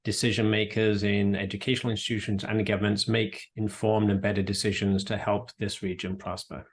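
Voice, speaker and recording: A middle-aged man from the United Kingdom, explaining things in a measured way during an interview. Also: on the low side, flat delivery. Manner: measured, explanatory, frequent restarts. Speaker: president and CEO of an economic council. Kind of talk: podcast interview answers.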